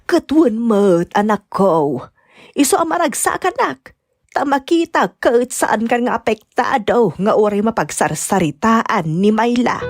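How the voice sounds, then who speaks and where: A woman's voice: fairly high pitch, animated, a little slow. A woman with an Ilocano Filipino accent playing a caring elderly grandmother in an audio drama. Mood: tender, consoling. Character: caring elderly grandmother, mother of a grown son. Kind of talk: amateur radio-style drama acting.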